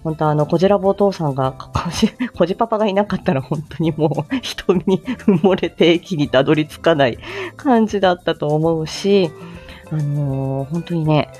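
A woman's voice: deep voice, moderate pace, darkish tone, faint music behind. A woman chatting casually on a morning livestream in a polite, giggly, talkative way. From Japan, outside Tokyo. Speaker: livestream host, amateur voice drama performer.

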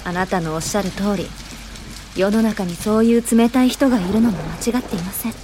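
An actress playing a caring, determined young social worker in a radio drama, earnest and gently persuasive. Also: female voice, mid-range voice, fairly even intonation, brisk, a little hiss or hum, natural voice. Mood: calm, sympathetic.

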